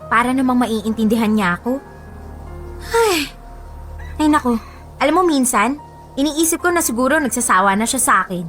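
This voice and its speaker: A woman's voice: sits high, expressive, normal speed, long pauses, a bit bright. A woman acting a sulky young woman fed up with her boyfriend in a Filipino radio drama, complaining in a huffy, emphatic way. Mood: hurt, defensive. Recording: music bed behind voice.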